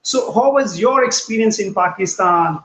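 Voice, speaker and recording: A man hosting a live online interview, speaking haltingly with frequent restarts. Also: sits high, lively intonation, fairly bright tone. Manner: halting, many restarts and fillers. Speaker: interview host. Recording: echoey, dull, lightly noisy call audio.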